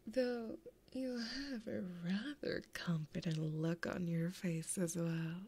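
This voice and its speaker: A seductive demon woman played by a woman whispers in an ASMR roleplay, right up at a binaural microphone. Her voice is soft and full of breath, and she draws her words out extremely slowly. She is flirty and possessive, taunting the listener with intimate teasing. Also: on the low side, slightly rough, full character voice. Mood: smug, possessive. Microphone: close binaural microphone.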